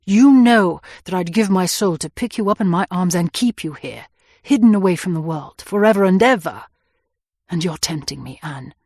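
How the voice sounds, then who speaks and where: A woman puts on a tormented young fugitive from a storybook, his voice dropped low and husky. He drags his words out slowly, flaring into vehement cries and then sinking to a breathy, tender hush. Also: no room sound, clean studio sound, heavily acted. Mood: tender, anguished. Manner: vehement outbursts, then hushed tender pleading. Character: anguished young man, hunted fugitive, passionate and brooding.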